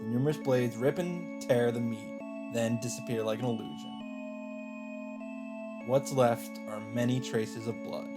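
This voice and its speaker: A man's voice: mid-range voice, measured pace. A man hosting a let's play, reading visual novel narration aloud. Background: game music.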